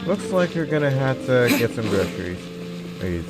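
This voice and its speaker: Gamer: man with animated, casual reactions over clearly audible game music. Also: medium pitch, moderate pace, rough recording. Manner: reacts with exclamations. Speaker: gamer playing through story quest. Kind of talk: casual gameplay commentary. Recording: loud game music behind.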